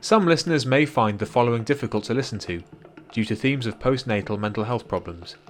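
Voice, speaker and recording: A man's voice: mid-range voice, fairly quick, faint music behind. A man presenting a scripted introduction to an audio drama podcast.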